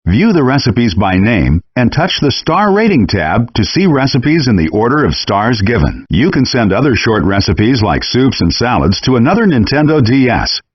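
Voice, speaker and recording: A very animated friendly chef guide for a cooking game, with a husky edge to his voice. He gives scripted instructions in an encouraging, clearly worded way. The sound is dry and a bit dull, like compressed handheld game audio. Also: mid-range voice, moderate pace, hardly any pauses, no room sound. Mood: patient, instructive.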